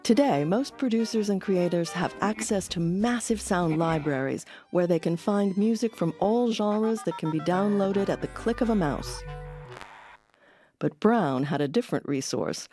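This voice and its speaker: A woman's voice: on the low side, expressive, normal speed, dark tone, soft background music. A woman hosting and narrating a documentary from a script.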